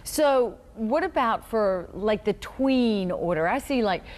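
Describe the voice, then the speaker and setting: A woman's voice: on the high side, animated, slow pace, darkish tone, in a large hall. A woman hosting a radio interview, asking questions in a conversational broadcast style.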